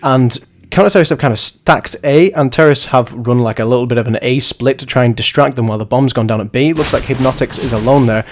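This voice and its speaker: Hoarse, chatty gaming commentator. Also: male voice, medium pitch, fast, noisy background. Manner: rambling, self-correcting, chatty. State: hoarse from the flu, sniffling. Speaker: host and commentator of a bot league.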